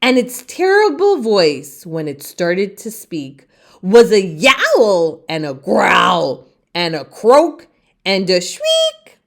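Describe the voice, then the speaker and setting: A woman reads a children's story aloud in a theatrical way. She takes it very slowly, phrase by phrase, with wide swings in pitch. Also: huge pitch swings. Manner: theatrical, deliberate phrasing for young listeners. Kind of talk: expressive children's read-aloud narration.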